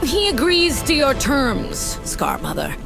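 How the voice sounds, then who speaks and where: A woman playing a shrewd, proud warrior priestess of the frozen north in a fantasy audio drama, deliberate and assertive. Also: high voice, big pitch swings, vocal fry, music in the background, full character voice. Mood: deferential.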